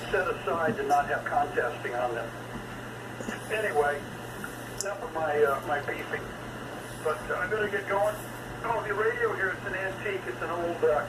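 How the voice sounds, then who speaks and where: An older man chatting casually and in a folksy, rambling way over a single sideband ham radio link. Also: a little high. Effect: shortwave single sideband radio. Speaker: amateur radio operator. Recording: noisy, echoey, distant single sideband radio reception.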